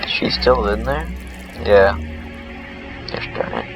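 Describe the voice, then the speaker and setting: A man's voice: on the low side, takes it slowly, vocal fry, rough recording. A man reading the dialogue of a game aloud as he plays, with game music behind him. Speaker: let's player.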